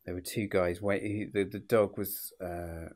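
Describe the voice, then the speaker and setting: Low-voiced, sniffly man teaching drawing. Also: steady tone, a little mellow. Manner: informal, unhurried, talks through each step. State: sniffly with a cold. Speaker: illustrator hosting drawing tutorials. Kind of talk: casual drawing tutorial, chatting to viewers.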